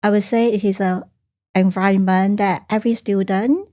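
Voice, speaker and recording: A woman from China whose first language is Teochew, speaking softly and reflectively as a guest in a studio podcast interview. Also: mid-range voice, steady tone, moderate pace. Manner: soft-spoken and reflective, simple phrasing with small grammar slips. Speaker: guest, career coach. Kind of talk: podcast interview, personal storytelling.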